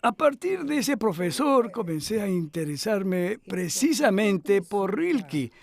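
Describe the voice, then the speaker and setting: An older man with a notably high voice answers interview questions at a measured, thoughtful pace. He pauses often with hesitant fillers as he recalls things. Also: sing-song, gravelly, darkish tone. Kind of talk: reflective interview talk.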